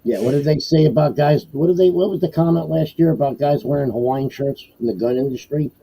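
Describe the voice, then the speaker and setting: A man's voice: fairly high pitch, brisk, vocal fry, dark tone, husky, rough recording. A man chatting on a podcast call, easygoing, laughing often and asking questions. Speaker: podcast co-host. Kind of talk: casual livestream chat.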